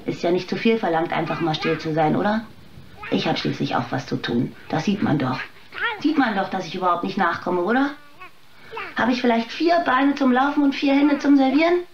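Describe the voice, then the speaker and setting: A woman's voice: medium pitch, expressive, a little fast, dull audio, close to an everyday voice. An actress playing a bitter, exhausted mother and tavern waitress in a radio play, weary and sarcastic. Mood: harried. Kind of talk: radio play acting, dramatic monologue.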